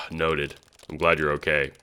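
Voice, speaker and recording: A man's voice: very deep voice, flat delivery, slow, noisy background. A man playing a sarcastic, foul-mouthed teenage boy in an audio drama, talking in casual, hesitant slang. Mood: deadpan disbelief.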